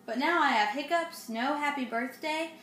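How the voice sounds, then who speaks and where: Woman voicing a high, plaintive little storybook piglet. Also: moderate pace, a bit bright. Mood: glum, polite. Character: sad, polite little boy piglet with hiccups. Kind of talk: children's storybook read-aloud. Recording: roomy, clearly noisy home recording.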